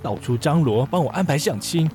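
A man's voice: on the high side, animated, fairly quick, darkish tone, soft background music, close to an everyday voice. An actor playing an aloof, curt male boss of about thirty in a modern comedy radio drama. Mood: weary. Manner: curt, cool, exasperated.

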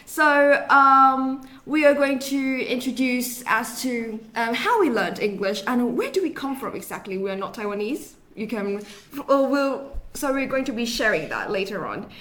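A woman's voice: fairly high pitch, lively intonation, measured pace, few pauses, a bit bright, echoey room. A young woman from Indonesia co-hosting a podcast as a student, chatty, with many fillers and laughs. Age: young adult. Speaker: student co-host. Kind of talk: casual podcast chat.

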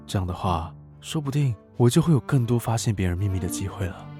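A man's voice: on the low side, expressive, fast talker, mellow, faint music behind, natural voice. A young actor playing a polite student neighbor who secretly spies on others, mild to people and scheming in his inner monologue. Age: young adult. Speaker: narrator and handyman neighbor. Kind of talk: radio drama acting.

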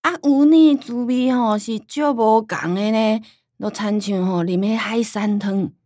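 A woman's voice: a little high, a little slow, dark tone, close to an everyday voice. A woman acting a stubborn old Taiwanese grandmother. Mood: animated, chatty. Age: older. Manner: rambling, folksy storytelling with sighing interjections. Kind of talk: radio drama acting in Taiwanese Hokkien.

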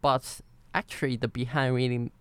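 Clear-voiced Taiwanese man telling a story. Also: medium pitch, flat delivery, moderate pace, a bit bright, no room sound. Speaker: podcast co-host. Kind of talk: semi-scripted personal storytelling.

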